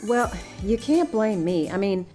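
A blunt, cynical young woman played by an actress in a naturalistic audio drama. Her tone is mellow and her pitch moves freely as she teases and needles her friends. Faint music sits behind her. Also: expressive, unhurried, lightly acted. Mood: skeptical. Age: young adult. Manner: sarcastic, teasing.

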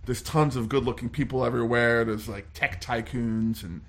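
A man's voice: medium pitch, fairly even intonation, moderate pace. A man playing in an improvised roleplay game, joking and tripping over his words. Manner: playful, stumbling, laughs often. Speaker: player.

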